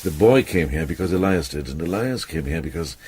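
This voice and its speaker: An older man playing a shrewd, calm and authoritative medieval monk in a radio drama, speaking in a measured, deliberate way. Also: a bass voice, exaggerated voice. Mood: musing. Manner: measured, deliberate, thinking aloud. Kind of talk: British radio drama acting. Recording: clear background ambience noise.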